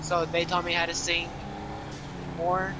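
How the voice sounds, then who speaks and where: A man chatting casually during an online game, rambling and halting with many fillers. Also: on the high side, monotone, heavy background noise. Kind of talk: casual chat while gaming.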